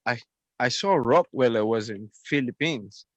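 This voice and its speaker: A man co-hosting a casual live interview, relaxed and quick to chuckle, relaying viewer questions. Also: mid-range voice, no echo, muffled recording. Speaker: co-host.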